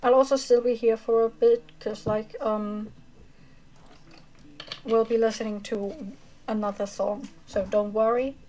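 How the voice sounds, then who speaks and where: A woman streamer chatting casually with her viewers as she wraps up a livestream, rambling with fillers and a self-described monotonous voice. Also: fairly high pitch, a little slow, long pauses, rough recording. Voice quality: monotonous reading voice. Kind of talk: casual chat ending a livestream.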